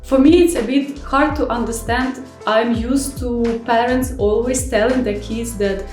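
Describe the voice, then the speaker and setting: A woman's voice: on the high side, steady tone, unhurried, darkish tone. Chatty Ukrainian-accented young woman vlogging. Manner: chatty, frank, non-native grammar with many fillers. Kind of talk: casual vlog monologue. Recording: echoey room with faint music.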